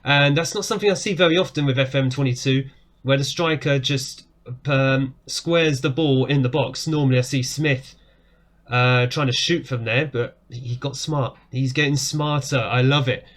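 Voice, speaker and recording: A man, talking casually over a football management game. His voice is starting to go hoarse, and it jumps up in excitement whenever a goal goes in. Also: mid-range voice, moderate pace. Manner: excitable, jumps up when goals go in. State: voice going hoarse. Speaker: game streamer. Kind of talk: casual gameplay commentary. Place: small room indoors. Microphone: webcam framing at desk.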